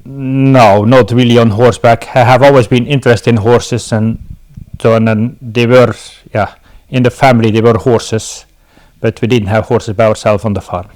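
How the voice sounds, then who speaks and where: A man's voice: mid-range voice, fairly even intonation, moderate pace, darkish tone. A middle-aged man from Belgium with a Belgian accent, giving casual answers as an interview guest.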